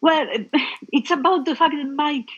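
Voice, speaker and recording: A woman's voice: high voice, steady tone, normal speed, dull audio. A woman with an Italian accent, a fan earnestly asking a question over a call. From Italy.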